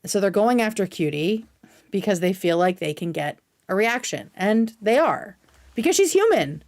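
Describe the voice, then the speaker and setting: A woman's voice: medium pitch, very animated, normal speed, darkish tone. Woman doing casual livestream commentary. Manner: opinionated, informal, explains at length. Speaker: livestream host. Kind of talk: casual commentary reacting to clips.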